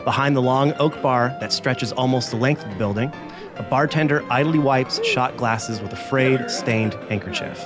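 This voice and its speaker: A man narrating a tabletop role-playing game as game master, in a measured, descriptive way. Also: medium pitch, music in the background.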